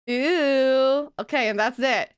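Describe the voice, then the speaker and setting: A woman's voice: high-pitched, takes it slowly, clean tone, dry recording, clean studio sound. A woman livestreamer chatting casually with her viewers in a bubbly, chatty manner. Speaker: livestreamer, virtual streamer host.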